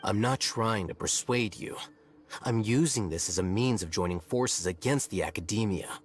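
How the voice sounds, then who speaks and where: A self-assured young scribe from a video game, played by a male actor. He has a low voice and speaks with dry, articulate composure, every phrase cool and calculating, over background music. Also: moderate pace, lightly acted. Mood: composed, assured. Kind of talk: dramatic video game voice acting.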